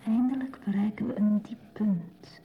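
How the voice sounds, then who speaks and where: A woman's voice: mid-range voice, fairly even intonation, normal speed, natural voice. An actress playing a grave, dreamy woman in a poetic radio play, speaking solemnly and lyrically in a dull lo-fi recording. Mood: dreamy, hushed.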